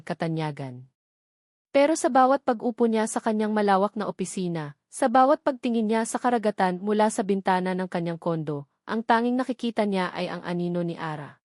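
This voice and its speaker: A woman narrating a scripted romantic drama in a solemn, measured way, pausing for suspense. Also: mid-range voice, a little mellow, no echo, clean studio sound. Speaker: story narrator.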